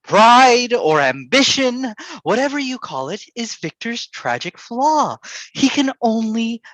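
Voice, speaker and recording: A woman's voice: a little high, big pitch swings, slow, dry recording, heavily acted, poor audio. A woman as haughty critic, sweeping intonation. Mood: scornful. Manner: emphatic, declarative, cutting. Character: haughty, argumentative, scornful literary critic in gothic drama. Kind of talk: dramatic staged reading.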